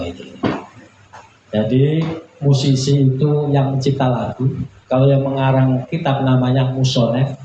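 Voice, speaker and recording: A man's voice: mid-range voice, warm tone. Echoey male preacher. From Indonesia. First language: regional Indonesian village language. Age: 40s. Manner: folksy, humble, joking, chatty with listeners. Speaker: Islamic preacher. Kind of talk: informal religious sermon to a congregation. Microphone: public address microphone. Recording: very echoey, muffled, noisy PA recording.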